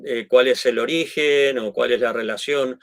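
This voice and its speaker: A man's voice: mid-range voice, steady tone, normal speed, no echo, studio quality. A middle-aged man from Argentina with an Argentine Spanish accent, explaining things in conversation with many restarts and tag questions. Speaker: guest.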